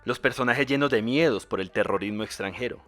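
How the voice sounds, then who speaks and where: A man with a Colombian accent hosts a podcast in scripted narration. His pitch is medium, and light music plays underneath. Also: fairly quick, fairly bright tone. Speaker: podcast host.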